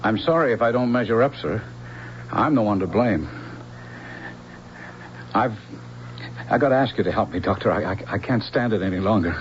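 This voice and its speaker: Man playing an earnest lovelorn android, expressive delivery. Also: on the low side, moderate pace, pauses often, natural voice. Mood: anguished. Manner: hesitant, stammering, deferential. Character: earnest lovelorn male humanoid android, humble and anguished. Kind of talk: 1970s-style radio drama acting. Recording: dull lo-fi radio recording, faint hiss.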